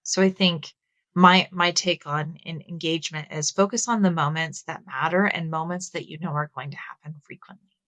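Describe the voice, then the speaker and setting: Low-voiced Texan woman explaining. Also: fairly even intonation, normal speed, fairly bright tone, no echo. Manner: thoughtful, explanatory, with filler words. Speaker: guest. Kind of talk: conversational interview.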